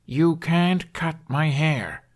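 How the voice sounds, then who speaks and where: A man's voice: on the high side, voice pushed up. Man playing a grumpy, exasperated young man. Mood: slow protest. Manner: slow, forbidding, grumbling.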